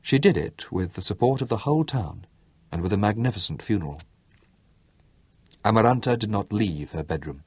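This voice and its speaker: Low husky-voiced man narrating a novel. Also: expressive, normal speed, long pauses, a little mellow, dry recording. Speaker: audiobook narrator. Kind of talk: scripted literary narration.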